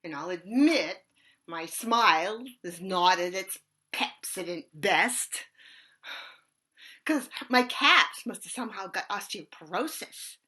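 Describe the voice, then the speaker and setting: A woman playing a raspy, cackling street bag lady. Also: mid-range voice, lively intonation, slow. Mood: brash. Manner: loud, cackling, swings between shouting and hushed asides. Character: eccentric raspy bag lady, brash and manic. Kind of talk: stage monologue.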